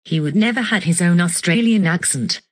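A woman playing a pushy little would-be president in a comedy skit, with a husky voice and a blunt way of talking. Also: on the low side, animated, moderate pace. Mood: resigned. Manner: blunt, insistent. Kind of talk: scripted comedy skit dialogue.

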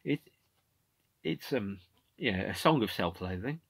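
A man's voice: lowish voice, measured pace, vocal fry, slightly rough, no room sound. A man in his forties talks casually about an album from his home room, with lots of fillers and restarts. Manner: animated, gestures with hands, many fillers and restarts. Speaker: podcast host. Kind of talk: casual music commentary. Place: home room with shelves of CDs.

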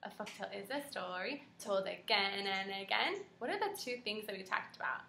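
A woman's voice: mid-range voice, expressive, brisk, creaky, sharp tone, a little hiss or hum. A young woman, a kindergarten teacher, reading aloud and asking children questions in an encouraging, carefully articulated way. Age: young adult. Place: small room at home, indoors. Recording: roomy, echoing home video.

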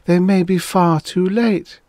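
A man's voice: fairly high pitch, very animated, takes it slowly, a little mellow, slightly rough, no echo, studio quality, voice pushed up, exaggerated voice. A man voicing a flighty, dreamy female alien creature of air, sighing and plaintive, in a story narration. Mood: despairing.

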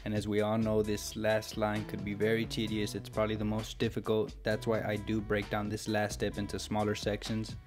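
Low, even-toned American man over music. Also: fairly quick, hardly any pauses. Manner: casual, step-by-step explaining. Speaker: barber giving a tutorial. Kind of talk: instructional voice-over narration. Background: background music with guitar.

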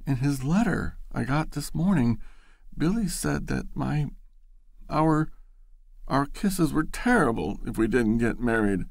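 A man's voice: medium pitch, animated, unhurried, creaky, no room sound, studio quality, pitched above the performer's own voice. A man voicing a naive young woman who sobs and quavers as she pleads. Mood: distraught, pleading. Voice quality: quavering, sobbing.

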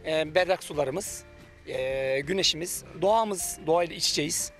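A middle-aged man from Turkey giving an on-camera interview outdoors by a beach as a cafe manager, speaking into a handheld mic with long drawn-out fillers and confident claims. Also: a little high, a bit bright, soft background music. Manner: hesitant, many drawn-out fillers, self-assured claims. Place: outdoors by a beach under trees. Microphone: handheld reporter mic.

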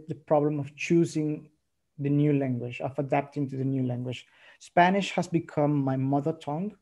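Mellow, thoughtful young man. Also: a little high. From Venezuela. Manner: thoughtful, hesitant, with restarts. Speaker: panelist writer. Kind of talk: panel discussion on a video call. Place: home room, indoors. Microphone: video call, earphone mic.

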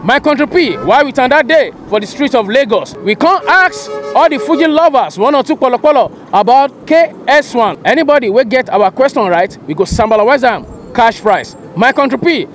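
A young man with a Nigerian Pidgin accent interviewing passersby on a busy street, lively and coaxing, on a handheld mic. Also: extremely high pitch, normal speed, hardly any pauses, soft background music. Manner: lively, coaxing, hypes the viewers. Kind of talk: street vox pop in pidgin. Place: busy outdoor street market.

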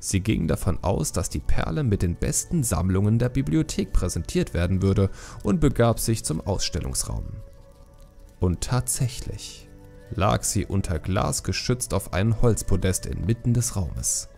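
A man's voice: on the low side, very animated, unhurried, vocal fry, warm tone, faint music behind. A man reading a scripted fantasy story as an audiobook narrator.

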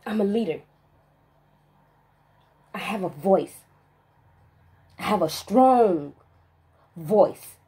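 A woman vlogger in a spiritual rant monologue, emphatic and profane, stressing single words with long pauses. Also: medium pitch, expressive, mellow, husky.

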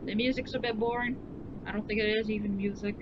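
A woman's voice: steady tone, fast. A woman doing casual, informal let's play commentary, muttering to herself as she plays a game. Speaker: gaming video host. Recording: muffled audio with loud rumbling noise.

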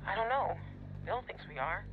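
High, crackly lookout over radio. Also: male voice, animated, normal speed, a crowd in the background. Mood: anxious. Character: unsure, anxious lookout in a survivor camp. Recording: thin phone-like radio sound, clear noise.

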